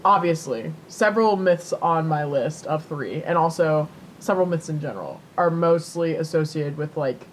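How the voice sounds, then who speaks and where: A woman's voice: sits low, normal speed, slight background noise, rough recording. A woman co-hosting a podcast in casual, unscripted chat, with a playful, hedging manner. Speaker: podcast co-host.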